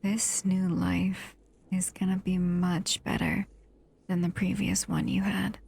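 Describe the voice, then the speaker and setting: A woman playing an affectionate, possessive monster lover in an intimate audio roleplay, speaking in a tender, breathy murmur. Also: lowish voice, little pitch movement, a little mellow, exaggerated voice. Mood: cozy, intimate. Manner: tender, unhurried, doting murmur.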